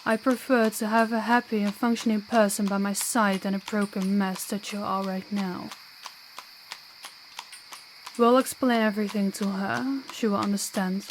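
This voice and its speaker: A woman's voice: mid-range voice, little pitch movement, normal speed, a little hiss or hum, lightly acted, low-quality recording. A woman playing a proud, defiant, principled young princess in an audio roleplay, speaking formally and bluntly. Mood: earnest, resolute.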